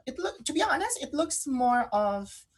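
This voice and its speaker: A woman's voice: sits high, sing-song, normal speed. A woman co-hosting a fashion talk show in casual chat, enthusiastic and repeating phrases for emphasis.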